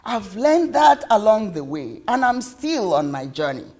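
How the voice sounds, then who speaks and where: Woman performing a storybook mother, weary, tender, earnest, slow stretched phrasing, highly animated pitch. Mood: tender, reassuring. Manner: stretches words, pleading and earnest. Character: weary, loving mother, tearful and emotional.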